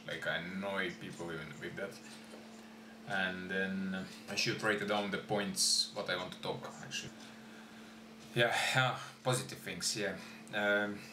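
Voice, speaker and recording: A man's voice: lowish voice, reverberant, noisy background. A young man whose first language is Estonian, talking unscripted to camera at home, hesitant with many fillers and restarts. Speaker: YouTuber. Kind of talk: unscripted vlog talk to camera. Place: small room at home, indoors.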